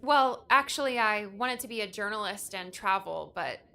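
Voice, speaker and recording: A woman's voice: mid-range voice, animated, normal speed, creaky, bright, forceful tone. A woman playing an earnest, hesitant young woman in an audiobook drama. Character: earnest, homesick young woman, eighteen in memories. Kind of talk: audiobook character acting.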